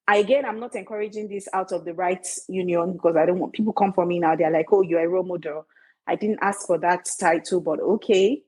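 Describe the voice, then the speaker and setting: A woman's voice: mid-range voice, a little mellow, husky, low-quality recording. A Nigerian woman with a Nigerian accent, an author speaking playfully and emphatically in a podcast interview. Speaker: author guest.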